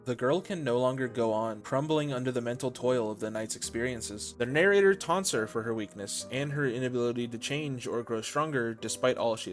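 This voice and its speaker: A man hosting a commentary video, delivering scripted narration with a wry, theatrical storytelling manner and conversational asides. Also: mid-range voice. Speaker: video host and reviewer.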